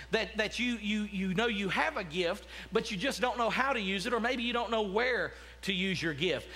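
Pastor, 30s, bright high voice, chatty sermon with restarts, church sanctuary. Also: male voice. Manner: conversational preaching with frequent repeated restarts and asides. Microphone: podium mic, walking about the platform.

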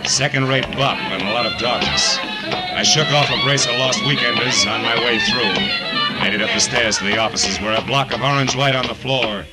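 An actor playing a tough, wisecracking private detective, terse and sardonic, in 1940s radio noir style. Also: male voice, medium pitch, wildly swooping pitch, normal speed, over loud music. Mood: brooding, wry. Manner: terse, sardonic, hard-boiled.

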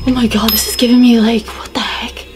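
A young woman in her twenties vlogging a chatty unboxing in a small bedroom, excitable and a bit hoarse as her voice fades. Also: fairly high pitch, a little fast. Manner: excitable, chatty, gasps and laughs a lot. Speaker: YouTuber. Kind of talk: casual unboxing vlog. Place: small bedroom decorated with dolls.

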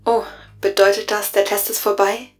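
A woman playing a resigned, gentle artificial intelligence in an audio drama, subdued and halting in delivery. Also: mid-range voice, level tone, faint music behind, lightly acted. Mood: weary, resigned.

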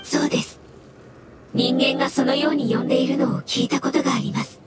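A woman voicing a gentle, polite elemental creature in a video game, speaking in measured, formal phrases. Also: high-pitched, fairly even intonation, very noisy, lightly acted. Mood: wistful, sincere. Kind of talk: scripted game dialogue.

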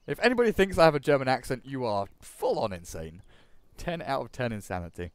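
A man's voice: mid-range voice, big pitch swings, fairly quick, no echo. A young man from England commentating a game draft in a chatty way, often repeating words. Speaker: esports commentator.

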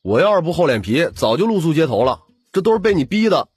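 A man's voice: fairly high pitch, sing-song, fast, breathy edge, no room sound, voice pushed up, exaggerated voice. A man voicing a cheeky, indignant young male tenant in an audiobook, complaining and pleading dramatically.

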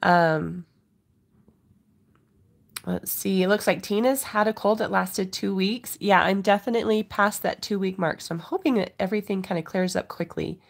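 Woman craft host, stuffy cold voice, gravelly edges, chatty and apologetic, phone overhead at home desk. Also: mid-range voice, normal speed. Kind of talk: casual live unboxing chat.

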